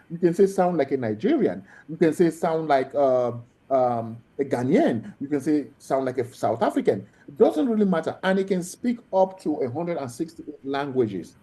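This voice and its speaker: Guy walking people through a tech demo, very dark mellow tone. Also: fairly high pitch. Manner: explains patiently with repeated phrasing. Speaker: presenter. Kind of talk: technical demo explanation.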